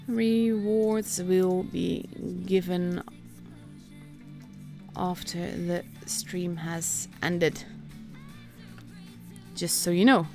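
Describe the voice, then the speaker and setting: A woman's voice: lowish voice, flat delivery, drawn-out words, long pauses, mellow, soft background music. A woman with a Dutch accent giving casual, chatty live commentary on a game as a stream host. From Netherlands. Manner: chatty, informal, reacting as play unfolds.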